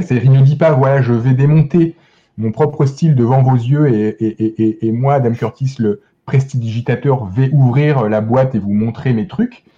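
Slightly muffled recording, guy with a mellow voice chatting on a podcast, keeps hesitating n repeating words. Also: medium pitch. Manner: hesitant, searching for words, repeating word starts. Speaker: guest film critic. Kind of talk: casual podcast discussion.

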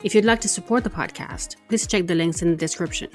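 A woman's voice: on the low side, fast talker, soft background music. A woman podcast host who asks brief, friendly questions and then reads a closing sign-off. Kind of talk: interview and scripted outro.

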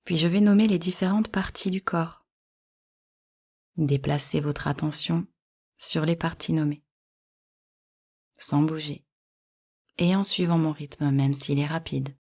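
A woman guiding a yoga nidra relaxation in calm, measured short instructions. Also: low voice, slightly rough. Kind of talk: guided relaxation meditation.

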